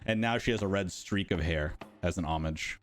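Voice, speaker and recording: A man's voice: low voice, moderate pace. A man hosting a casual live stream for a game studio, chatting and answering viewers' questions. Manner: chatty, rambling, talks to chat.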